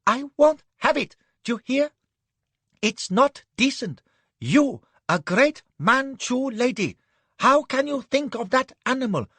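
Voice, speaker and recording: A man's voice: extremely high pitch, lively intonation, slow pace, fairly bright tone, no echo, voice pushed up, heavily acted. A squealing, outraged man. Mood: frantic pleading. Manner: squealing, outraged, near tears. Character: shrill frantic man, a eunuch.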